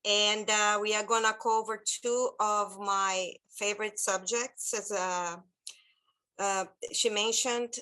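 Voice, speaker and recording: Bright-voiced woman with a Brazilian accent. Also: medium pitch, fairly even intonation, slow, rough recording. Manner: warm, chatty, loose phrasing with many fillers. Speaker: children's ministry trainer. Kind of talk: online training presentation. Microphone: laptop video call.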